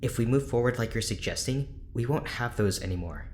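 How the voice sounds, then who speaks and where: A man's voice: mid-range voice, expressive, brisk, darkish tone, slight background noise, natural voice. A man playing a reserved, weary research doctor in an audio drama, speaking in a guarded, hesitant, clinical way. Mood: alarmed.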